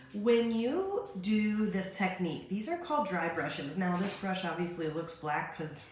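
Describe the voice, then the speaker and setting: A woman in her forties, a little stuffed up, chatting her way through a casual craft tutorial at her kitchen counter, full of fillers and asides. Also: medium pitch. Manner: chatty, filler-heavy, friendly how-to talk. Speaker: craft demo host. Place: home kitchen, indoors. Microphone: phone camera on a stand at the counter. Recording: echoey kitchen with faint ambient noise.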